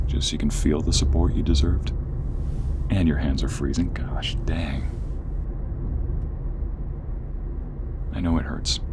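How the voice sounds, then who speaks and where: A man playing a protective, caring friend in an ASMR roleplay, speaking softly and haltingly, often dropping to a breathy near-whisper. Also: extremely low pitch, background noise. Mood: tender, consoling. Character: protective, caring male friend, playful turning worried. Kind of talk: ASMR roleplay audio drama.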